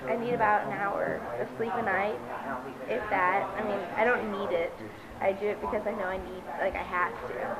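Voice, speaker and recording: A woman's voice: medium pitch, steady tone, moderate pace, poor audio. A woman talking casually about her own experiences. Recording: loud crowd chatter around the voice. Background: loud crowd.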